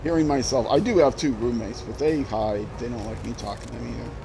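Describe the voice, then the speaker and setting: An older man with a mild Minnesota accent chats his way through a game stream. His voice sits in an ordinary middle pitch, loose and self-deprecating, drifting between asides. Soft game music plays faintly behind him. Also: brisk, creaky. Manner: rambling, self-deprecating, chuckling to himself. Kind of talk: casual gameplay commentary.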